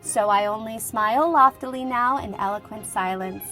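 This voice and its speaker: A woman playing a lively young schoolteacher reading out her letter in a conversational, wryly humorous way, in an acted audiobook. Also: fairly high pitch, normal speed, poor audio. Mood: wry griping. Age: young adult. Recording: music playing behind the voice.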